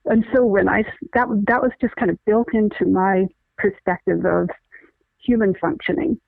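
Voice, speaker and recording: A woman's voice: mid-range voice, gravelly, dry recording. Earnest woman explaining over a tinny phone line. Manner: earnest, many restarts, deliberate explaining. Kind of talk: conversational interview, explaining.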